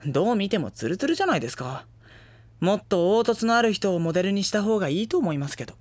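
An actress playing a polite but blunt, easily exasperated teenage schoolboy in a Japanese audio drama, with a boyish, dry delivery. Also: female voice, medium pitch, sing-song, quick pace, very noisy, lightly acted.

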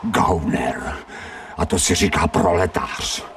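An actor playing a scrawny, streetwise stray dog in a radio drama, grumbling in colloquial speech with sardonic self-pity. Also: male voice, sits low, recorded from a distance, a little hiss or hum, lightly acted. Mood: bitter, pained. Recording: 1990 radio drama, off-mic.

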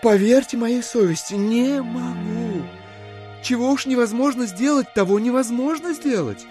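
An actor playing a smooth, fussily polite gentleman schemer in an audio drama, with a mannered, ingratiating delivery. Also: very high voice, measured pace, soft background music. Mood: wheedling. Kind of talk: theatrical audio drama acting in Russian classic.

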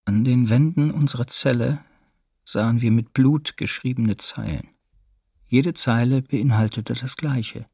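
An actor playing a sober wartime witness who recounts his arrest in measured first-person testimony, in a documentary radio play. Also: medium pitch, creaky, darkish tone, breathy edge, no room sound, clean studio sound. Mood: grim.

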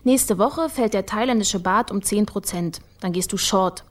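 Female investigator for radio crime drama played by an actress: mid-range voice, clear-headed, matter-of-fact, methodical. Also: mid-range voice, a little slow, natural voice. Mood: confident explaining. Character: sharp, methodical woman investigator working for the prosecutor. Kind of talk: naturalistic German radio crime drama acting.

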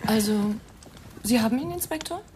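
Woman acting a brittle wife, higher-pitched voice, guarded, short questioning phrases, radio crime play, background noise. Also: lively intonation, normal speed, lightly acted. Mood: tense questioning. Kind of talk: 1990 German radio crime drama acting.

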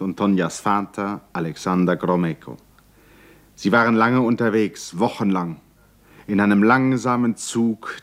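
A man narrates a radio drama in a fairly low voice. His delivery is literary and measured, with dramatic weight and deliberate pauses between phrases.

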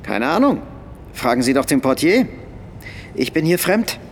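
A man's voice: a little high, sing-song, unhurried, slight background noise. An actor playing a proud, agitated businessman in a radio drama, trying to stay composed. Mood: guarded.

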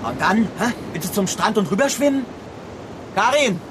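An actor in a radio drama playing a crude, menacing criminal who talks in a mocking, sarcastic, colloquial way. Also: male voice, high voice, very animated, moderate pace, crowd noise, noisy background. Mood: taunting, coaxing.

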